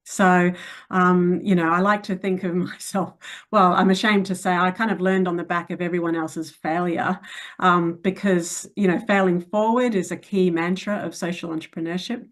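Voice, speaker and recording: Middle-aged woman from New Zealand, slightly low voice, frank and reflective, hesitant fillers. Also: steady tone, normal speed. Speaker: interview guest. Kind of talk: conversational interview answers.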